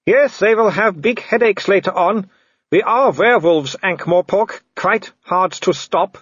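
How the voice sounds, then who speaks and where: Gleeful, high-pitched werewolf villain. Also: male voice, a bit bright, breathy edge, no room sound, lo-fi sound, heavily acted. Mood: gleeful taunting. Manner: mocking, halting non-native phrasing. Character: cheerfully cruel foreign male werewolf villain, taunting.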